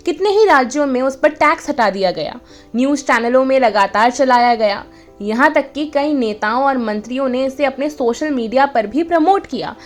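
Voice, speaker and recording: A woman presenting a scripted video explainer in an earnest, emphatic way. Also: sits high.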